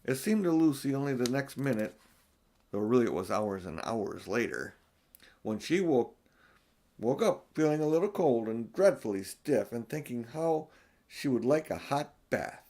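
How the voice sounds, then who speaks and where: An older man reads a children's story aloud as an audiobook narrator. His pitch sits in a middle range, and he tells the tale expressively. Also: sing-song, normal speed, dark tone. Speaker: audiobook reader.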